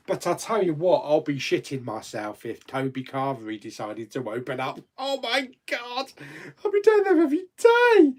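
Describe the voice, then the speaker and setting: A man's voice: sits high, wildly swooping pitch, a little slow. A man hosting a casual live chat, rambling and reading out viewer comments, laughing easily and swearing mildly.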